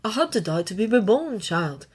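A woman voicing an ancient male dragon in an audio story, quiet but firm, speaking in a wry, measured, formal way. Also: mid-range voice, big pitch swings, slightly rough. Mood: sorrowful, gentle. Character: wry, gentle ancient male dragon, weakened yet regal.

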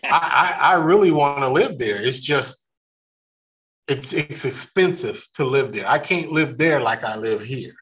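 Slow, earnest man preaching. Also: medium pitch, a bit bright. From California. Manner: slow, earnest, with frequent restarts. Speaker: ministry discussion host. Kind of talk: unscripted faith discussion.

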